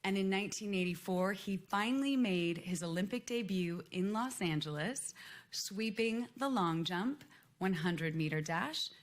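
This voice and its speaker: A woman's voice: mid-range voice, expressive, measured pace. A woman reading a scripted, formal introduction as a ceremony presenter, in an announcer-like manner.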